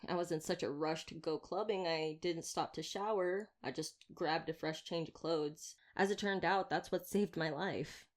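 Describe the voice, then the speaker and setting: A woman acts out a young female vampire slayer with a low-pitched voice. She is wry and theatrical, talking straight to the listener in an ASMR roleplay. Her manner is chatty and teasing, with a playful menace. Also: moderate pace, hardly any pauses, lightly acted. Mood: chatty storytelling.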